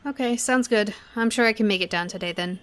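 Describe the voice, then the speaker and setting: A woman's voice: medium pitch, a little fast, fairly bright tone, slight background noise, lightly acted. A woman acting as a troubled, guarded young woman who stays polite, in naturalistic audio drama dialogue. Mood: polite, wary.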